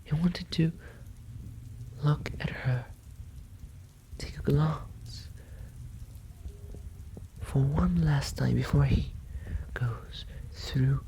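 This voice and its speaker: ASMR bedtime narrator, female: extremely slow, dark soft tone, whispery and breathy, rain in the background. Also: very deep voice. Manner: hushed, drawn-out phrases with long pauses. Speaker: storyteller. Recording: muffled sound with rain behind.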